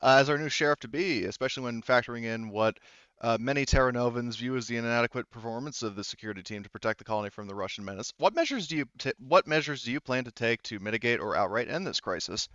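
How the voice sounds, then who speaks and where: A man voicing a cheerful, chatty female radio news host in a tabletop game, a polite but halting interviewer. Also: medium pitch, a bit bright, no room sound, muffled recording, natural voice. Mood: polite, inquiring.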